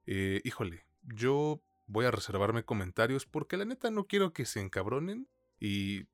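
A man's voice: mid-range voice, sing-song, moderate pace, dry recording, soft background music. A Mexican man co-hosting a film podcast, chatting in an informal, slangy way. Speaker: podcast co-host. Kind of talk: casual film podcast chat.

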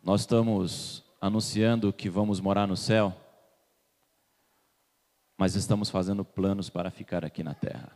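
A man's voice: low-pitched, steady tone, moderate pace, pauses often, darkish tone. A man preaches to a congregation, asking them questions and waiting for their answers. His voice rings with a long reverb through the church hall. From Salvador, Bahia, Brazil. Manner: questions the congregation and waits for replies. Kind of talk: sermon.

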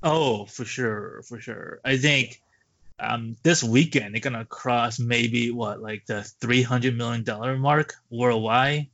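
A man's voice: medium pitch, takes it slowly, a bit bright. Guy chatting on a movie podcast, kinda muffled lo-fi, no room echo at all. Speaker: podcast co-host. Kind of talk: casual movie chat. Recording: dull lo-fi podcast audio.

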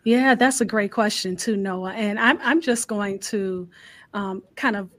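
A woman's voice: medium pitch, measured pace, a bit bright. A woman hosting a live radio call-in interview, speaking in an affirming, encouraging way. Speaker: host of a radio storytelling show.

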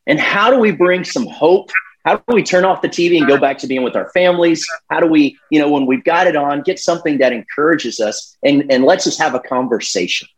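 A man's voice: fairly high pitch, a little fast, rough recording. A man co-hosting an interview show, encouraging his guest and often agreeing with him. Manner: encouraging, affirming with frequent agreement. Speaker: podcast co-host.

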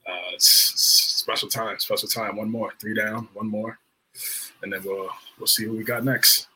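A man co-hosting a casual sports podcast over a video call, excitable and prone to repeating himself. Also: lowish voice, normal speed, slight background noise, rough recording. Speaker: podcast co-host.

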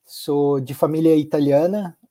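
A man's voice: on the high side, steady tone, unhurried, dark tone. A man with a southern Brazilian accent from Curitiba, speaking reflectively as an interview guest and repeating words for emphasis. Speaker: podcast guest, healthcare executive.

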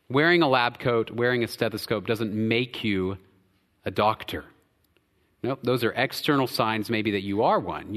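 A man from the United States preaching a sermon as pastor, swinging between loud emphatic stretches and quiet low drops. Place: church sanctuary. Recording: church hall with long reverb.

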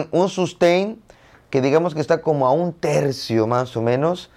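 A man's voice: fairly high pitch, measured pace, darkish tone. A man giving an instructional demonstration of audio effects while playing a jarana, explaining each step directly to the viewers. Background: plucked jarana strumming.

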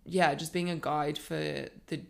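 Low gravelly woman. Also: a little slow. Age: 30s. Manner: earnest, reflective, animated when building a point. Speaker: podcast guest, life coach. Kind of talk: candid personal storytelling.

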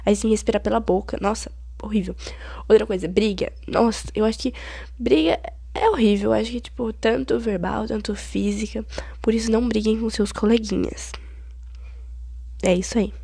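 Late-teen woman, Brazilian Portuguese accent, podcast host with a head cold; husky, dry close sound, chatty and dramatic. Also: fairly high pitch, fairly even intonation, normal speed, warm tone, dry recording. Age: late teens. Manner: chatty, rambling, dramatic complaining. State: stuffy-nosed with a cold. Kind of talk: casual solo podcast chat.

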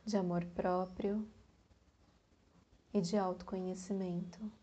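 A Brazilian woman guiding a meditation in a soft, breathy, calm voice, leaving long pauses between short phrases. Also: fairly low pitch, lo-fi sound. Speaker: meditation guide. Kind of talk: guided meditation.

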